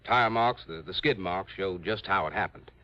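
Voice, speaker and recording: An American actor playing an earnest insurance manager who explains things haltingly in a 1950s radio drama. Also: male voice, low voice, close to an everyday voice. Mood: exasperated. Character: earnest male insurance office manager briefing an investigator. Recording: dull old radio recording.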